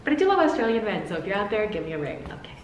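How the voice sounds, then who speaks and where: A woman's voice: medium pitch, sing-song, racing, far from the mic. A young woman from the United States answering fan questions in a playful, jokey self-interview vlog, with big swings of inflection. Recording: roomy echo, faint noise.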